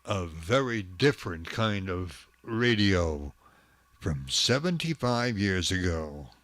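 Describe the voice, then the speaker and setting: A man presenting an old-time radio programme in a measured, scripted announcing style. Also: low voice, expressive, a bit bright, no echo.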